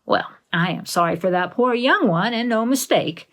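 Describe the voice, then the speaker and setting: A woman's voice: a little high, animated, moderate pace, a bit bright. A woman voicing a blunt, alarmist older village busybody with an emphatic, scolding delivery in a storybook reading. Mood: astonished, pitying.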